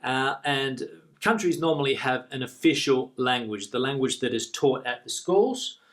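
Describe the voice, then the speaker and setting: A man with an Australian accent, an English teacher explaining vocabulary clearly and repeating the key words. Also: mid-range voice, normal speed, fairly bright tone. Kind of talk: online English lesson.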